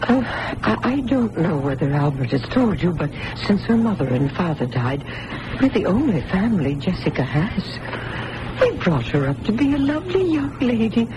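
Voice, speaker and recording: Actress as anguished older aunt, noisy radio recording. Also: female voice, mid-range voice, very animated, normal speed, natural voice. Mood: worried. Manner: emotional, faltering. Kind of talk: 1980s radio drama acting.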